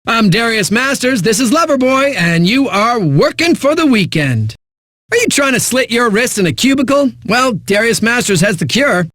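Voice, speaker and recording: An actor playing a breezy, smug radio DJ in a video game, delivering hyped, sardonic patter between eighties songs. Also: male voice, extremely high pitch, big pitch swings, moderate pace, a bit bright, dry recording, clean studio sound, heavily acted. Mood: glib, upbeat.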